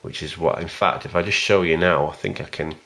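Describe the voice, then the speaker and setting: A man giving a casual, rambling video review of a collectible figure in a plain, even voice, stopping often for ums and uhs. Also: low-pitched, a little fast, vocal fry. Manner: rambling and hesitant, many fillers.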